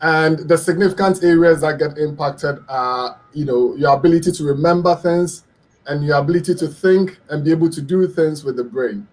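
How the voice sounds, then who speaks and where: Middle-aged guy from ghana, expert guest on radio, kinda high voice, earnest, lots of ums. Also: moderate pace, a little mellow. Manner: earnest, explanatory, frequent ums and repetitions. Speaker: guest expert, public health lecturer. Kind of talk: radio health interview, explaining.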